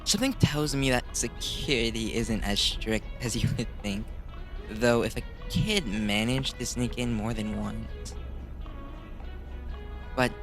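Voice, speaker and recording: A man's voice: mid-range voice, a little slow. A man hosting a gaming video, reading a visual novel aloud with casual commentary and correcting himself when he stumbles. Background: music.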